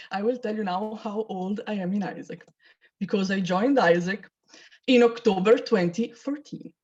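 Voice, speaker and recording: A woman's voice: medium pitch, measured pace, a little mellow, dull audio. A woman, hosting an onboarding call in a chatty, informal way and laughing often. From Italy. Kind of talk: casual online presentation. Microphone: video call.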